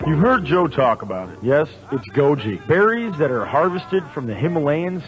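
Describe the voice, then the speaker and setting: A man reading radio promos as an announcer, with wry, deadpan humour. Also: a little high, normal speed. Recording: dull radio recording with music.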